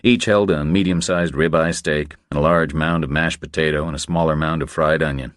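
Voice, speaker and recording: A man's voice: a bass voice, steady tone, fairly quick, no room sound, clean studio sound. A man reading scripted crime thriller narration as an audiobook narrator.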